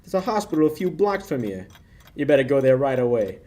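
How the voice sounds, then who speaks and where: A young actor playing a slick, mocking, menacing racketeer in a 1940s-style radio play. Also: male voice, medium pitch, big pitch swings, a little fast, darkish tone, natural voice. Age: young adult. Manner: sneering, wisecracking. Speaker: high school actor.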